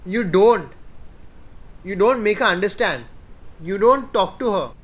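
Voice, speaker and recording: A man's voice: sits high, lively intonation, a little slow. A young man acting in a radio drama as a stern, probing lawyer coaching his client. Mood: cool, blunt. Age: teenager.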